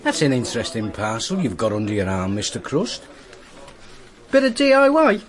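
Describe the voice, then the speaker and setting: An actor playing a hapless, chatty, deferential sidekick in a radio drama, rambling and jokey. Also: male voice, medium pitch, big pitch swings, normal speed, crowd noise, natural voice. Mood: musing, nosy.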